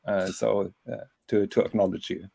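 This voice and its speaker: A man in a casual group chat, teasing and halting, with many restarts. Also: fairly low pitch, flat delivery, gravelly, mellow, breathy edge, dry recording.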